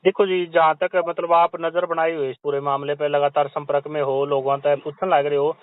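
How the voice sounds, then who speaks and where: A man with a high, smooth voice conducts a casual phone interview. He asks long-winded questions and chimes in briefly as he listens, all through a thin, narrow phone line. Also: flat delivery, moderate pace, no room sound. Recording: narrow phone call recording.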